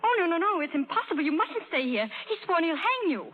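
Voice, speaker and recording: A woman's voice: very high-pitched, very animated, fast, faint music behind. An actress playing an earnest, genteel young lady in love in a 1930s radio drama. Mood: anxious, pleading. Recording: tinny 1930s radio broadcast.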